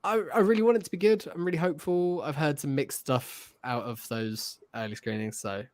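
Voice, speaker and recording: A man's voice: fairly high pitch, brisk, a little mellow. A man co-hosting a podcast, talking in a casual, informal way like a chatty fan. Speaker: podcast co-host. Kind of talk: casual chat.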